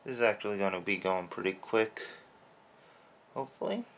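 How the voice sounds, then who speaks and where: A man with a lowish voice talks softly while painting a toy at his desk. He wanders from thought to thought, with plenty of fillers. A slight roughness sits in his throat from allergies. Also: fairly quick, a little hiss or hum. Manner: soft-spoken, rambling with fillers. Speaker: toy customizer. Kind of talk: casual hobby narration while painting.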